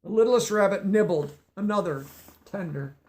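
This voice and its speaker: Man, storybook reader, fairly high voice, teacherly explanations. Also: moderate pace, darkish tone. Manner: stops to explain words in a teacherly way. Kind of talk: reading a children's book aloud.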